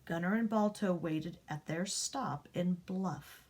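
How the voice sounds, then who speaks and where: A woman reading a children's picture book aloud, expressive, rising up and dropping to a hush for the dramatic parts. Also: on the low side, slow pace, a little hiss or hum. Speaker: storybook reader.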